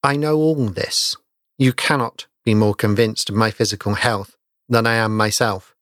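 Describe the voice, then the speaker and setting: A man voicing a doomed, pale stranger in a ghost story, speaking low, hushed and hollow. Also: steady tone, moderate pace, dry recording, clean studio sound, natural voice. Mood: grave, hushed.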